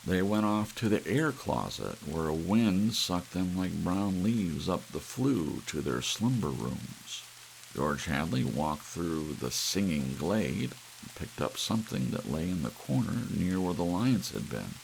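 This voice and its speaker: A man narrating an audiobook story in an ASMR reading style, with soft rain behind him. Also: low-pitched, big pitch swings, measured pace, vocal fry, slight background noise, low-quality recording.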